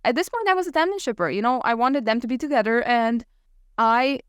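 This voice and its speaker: Woman, podcast co-host, higher-pitched, close dry sound, casual book chat, animated, opinionated, sweary. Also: a little fast, smooth, no room sound.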